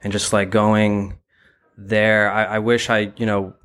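A man talks casually in an interview. His voice is fairly low and clean, staying quite flat in pitch. He thinks aloud in halting, reflective phrases strung together with filler words. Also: clear, resonant tone. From Brooklyn, New York; born in Ukraine. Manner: halting, reflective, heavy use of "like" and "you know". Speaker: interview guest, gallery founder.

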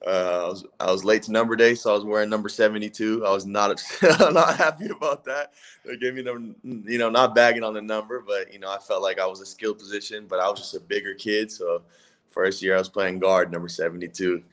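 A man in his twenties from California, a college football player chatting in a relaxed, easygoing way as a guest. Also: lowish voice, fast talker. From California, USA. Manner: relaxed, laid-back, hedging with "if that makes sense". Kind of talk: casual interview chat.

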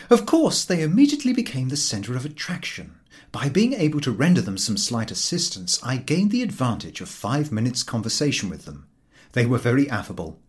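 Man reading with very lively intonation. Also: mid-range voice. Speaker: audiobook reader. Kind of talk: scripted narration of a humorous literary story.

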